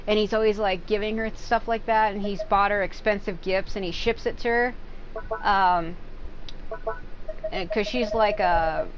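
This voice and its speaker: A woman game streamer chatting casually and rambling while she plays, frank and profane, with loud silly outbursts. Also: medium pitch, steady tone, measured pace, background noise.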